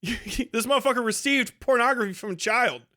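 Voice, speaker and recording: A man's voice: very high-pitched, moderate pace, sharp tone. A man doing casual, profane streamer commentary in a sardonic, mocking way, acting out quotes as he goes. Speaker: streamer host reacting to clips.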